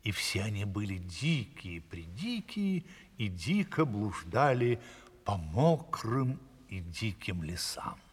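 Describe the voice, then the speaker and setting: A man telling a fairy tale to children theatrically, savouring his words with a breathy, hushed delivery. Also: on the low side, sing-song, slow, long reverb tail, noisy background. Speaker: storyteller.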